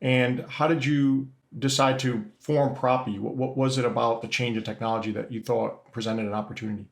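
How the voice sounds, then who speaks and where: A man's voice: medium pitch, flat delivery, fairly quick, gravelly, echoey room. A middle-aged man hosting a business interview, hesitant and full of restarts as he sums up his guest's points. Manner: hesitant, restarts often, summarizing.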